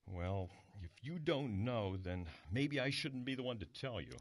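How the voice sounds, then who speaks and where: A man's voice: medium pitch, animated, a little slow, dry recording. A man playing a formal, insistent police detective in an audio drama, procedural and pointed. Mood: pointed, grave. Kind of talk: modern audio drama acting.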